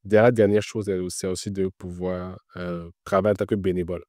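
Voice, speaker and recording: Low dark-voiced man giving advice. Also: little pitch movement, fairly quick, dry recording, studio quality. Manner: explanatory, repeats points, frequent filler words. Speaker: interview guest working in Web3. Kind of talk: casual advice-giving interview.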